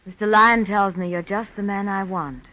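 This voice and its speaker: Actress as a poised, coy femme fatale. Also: female voice, medium pitch, fairly even intonation, moderate pace, natural voice. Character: cool, coy femme fatale assistant to a psychic, woman. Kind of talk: 1940s radio acting. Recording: dull old radio recording.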